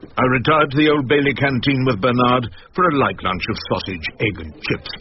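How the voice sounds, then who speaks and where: A man's voice: medium pitch, a little fast, close to an everyday voice. An actor playing a wry, stubborn older English barrister in a radio drama, rhetorical and drily pompous as he argues and narrates. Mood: wry narrating. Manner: rhetorical, pompous, dryly ironic. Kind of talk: radio drama acting and first-person narration. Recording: muffled radio recording with faint noise.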